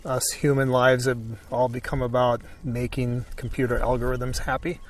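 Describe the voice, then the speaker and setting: A man's voice: mid-range voice, flat delivery, normal speed, far from the mic. A man giving a casual painting tutorial as an instructor, thinking aloud informally in short phrases.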